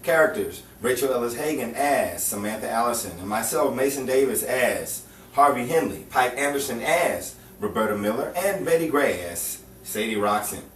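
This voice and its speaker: A man playing the deliberate, dramatic narrator of a noir newspaper tale in an old-time radio drama. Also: mid-range voice, lively intonation, fairly bright tone, natural voice. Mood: measured, dramatic. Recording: distant roomy recording with faint noise.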